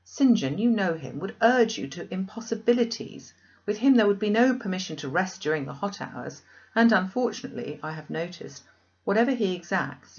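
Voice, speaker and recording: A woman voicing an outspoken, affectionate young gentlewoman, emphatic and questioning, in a classic novel. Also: lowish voice, lo-fi sound. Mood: worried, scolding.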